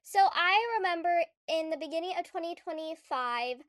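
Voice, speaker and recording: Young woman vlogger, high voice, stuffy with a cold, chatty with lots of fillers; dry close mic. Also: moderate pace, fairly bright tone, no echo. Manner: chatty and rambling, many fillers and restarts. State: congested from a cold. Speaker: gaming YouTuber. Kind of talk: casual vlog commentary.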